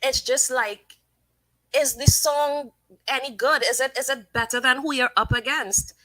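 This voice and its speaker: Woman streamer, bright and fairly high, close dry mic, opinionated live chat. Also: fairly even intonation, a little slow, dry recording, rough recording. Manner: chatty, opinionated, thinks aloud with fillers. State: sniffly from sinus trouble. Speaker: livestream host. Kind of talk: casual live commentary reading chat.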